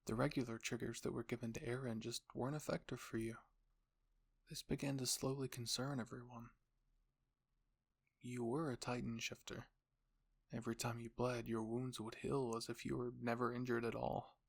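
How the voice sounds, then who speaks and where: A man's voice: medium pitch, little pitch movement, normal speed, vocal fry. A man narrating a scripted roleplay story, addressing the listener in the second person.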